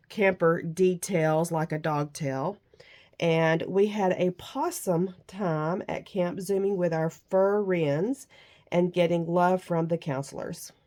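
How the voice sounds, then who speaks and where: A woman vlogger with a somewhat low voice chats about her crafts. A lingering cold leaves her nasal and slightly gruff. She gushes over the pieces she shows. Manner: warm, gushing about handmade crafts. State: nasal and a little rough from a cold. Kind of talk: casual show-and-tell crafting chat.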